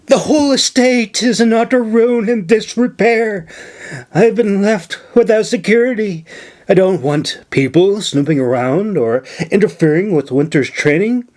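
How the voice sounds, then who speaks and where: A man voicing an excitable, pompous male governor in an audiobook, indignant and fussy. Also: high voice, pitched above the performer's own voice. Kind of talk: audiobook character voice.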